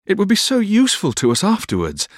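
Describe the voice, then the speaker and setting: A man voicing an earnest, ardent young Victorian gentleman in love, tender and exhorting, for an audiobook. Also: high voice, wildly swooping pitch, fast talker, no echo, clean studio sound, pitched above the performer's own voice, full character voice. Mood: fervent. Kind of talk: audiobook character voice.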